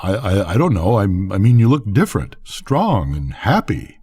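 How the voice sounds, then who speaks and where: A man's voice: on the low side, wildly swooping pitch, normal speed, warm tone, slightly rough, heavily acted. An older man voicing a well-meaning, bewildered rancher husband in a story, blundering and folksy in his talk.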